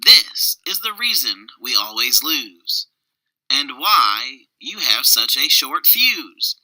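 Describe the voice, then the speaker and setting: A man reading a short poem aloud as a measured, pre-recorded narration. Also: mid-range voice, very animated, sharp tone, no echo, poor audio.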